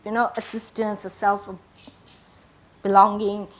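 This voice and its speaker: A middle-aged woman from South Africa, telling her own story as a panelist in a measured, reflective way. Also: mid-range voice, flat delivery, low-quality recording. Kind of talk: personal story at a panel discussion.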